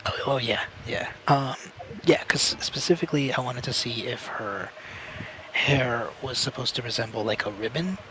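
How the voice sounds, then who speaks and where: A man's voice: mid-range voice, moderate pace, over music, low-quality recording. A man chatting casually as a co-commentator on a game stream. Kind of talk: casual chat.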